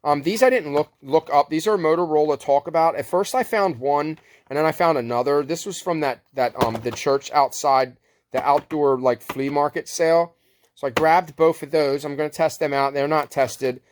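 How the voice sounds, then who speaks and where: A man's voice: on the high side, normal speed, slight background noise. A man giving a casual, unscripted show-and-tell as a reseller, rambling and correcting himself in laid-back, slangy talk. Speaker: thrift reseller showing a haul.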